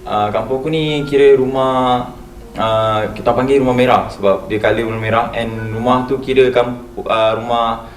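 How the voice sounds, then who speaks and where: A young man with a Malaysian accent tells his story in a casual, halting way. His medium-pitched voice sits back from the mic in a roomy space, over faint noise. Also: fairly even intonation, recorded from a distance.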